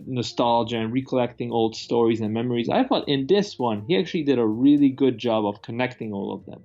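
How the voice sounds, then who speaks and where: A man's voice: mid-range voice, vocal fry, mellow, highs cut off. A man chatting casually about films in a rambling, opinionated way, with some profanity. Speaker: movie review host. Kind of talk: casual film review chat.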